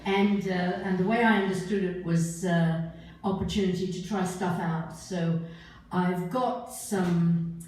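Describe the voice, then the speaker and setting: A woman's voice: on the low side, fairly even intonation, unhurried, a little mellow, a little hiss or hum. An older woman talking casually and hesitantly as she introduces her live performance, in an informal, rambling way. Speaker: solo performer, writer. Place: live performance venue, indoors. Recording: echoey live venue, far from mic.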